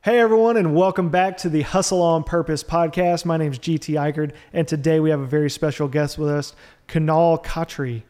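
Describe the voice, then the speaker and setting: A man's voice: a little high, steady tone, a little fast, few pauses, darkish tone. A man hosting a podcast, friendly and upbeat as he questions his guest. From Arkansas. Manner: friendly, upbeat, self-deprecating. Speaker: podcast host. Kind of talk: casual interview chat.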